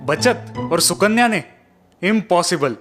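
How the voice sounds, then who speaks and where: High-pitched flustered young husband, acted by a man. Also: expressive, unhurried, a bit bright, faint music behind, exaggerated voice. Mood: sceptical, shocked. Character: sceptical young husband and son, easily flustered. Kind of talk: animated story dialogue.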